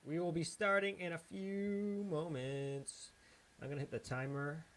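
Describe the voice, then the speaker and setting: A man's voice: a little high, fairly even intonation, a little slow, fairly bright tone, slight background noise. A man hosting a livestream, chatting loosely and hyping an upcoming guest while he checks the setup before the show. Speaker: livestream host.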